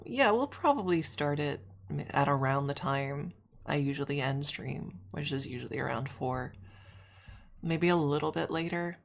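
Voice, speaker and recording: A woman's voice: low voice, vocal fry. A young adult woman, a little snuffly, chatting casually on a stream, rambling with frequent restarts.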